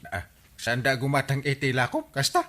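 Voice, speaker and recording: A man with an Ilocano accent playing an excitable village captain in a radio comedy drama, full of exclamations as he settles neighbours' quarrels. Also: moderate pace. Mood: scolding, mediating. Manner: exclamatory, swooping into high outbursts.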